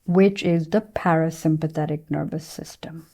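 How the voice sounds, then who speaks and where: A woman teacher leading a guided meditation, speaking in a gentle, soothing way with unhurried pauses between phrases. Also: low-pitched, fairly even intonation.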